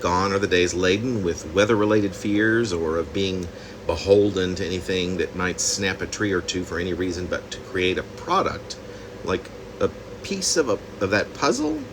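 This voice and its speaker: A man reading his own poem reflectively, in deliberate, halting phrases with pauses. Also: low voice, animated, noisy background. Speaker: poet reading own work.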